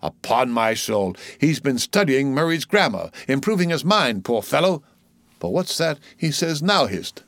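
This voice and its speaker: A man's voice: medium pitch, sing-song, unhurried, fairly bright tone, no room sound, voice pushed up. Man playing a wry, theatrical old sailor. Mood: curious. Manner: theatrical, exclamatory muttering asides. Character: jocular old sailor, wry mate muttering to himself.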